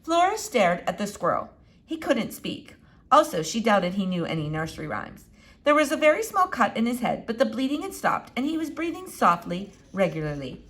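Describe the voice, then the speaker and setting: Lively woman reading a storybook aloud. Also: a little high, normal speed. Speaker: reader of a children's novel. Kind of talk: expressive storybook read-aloud.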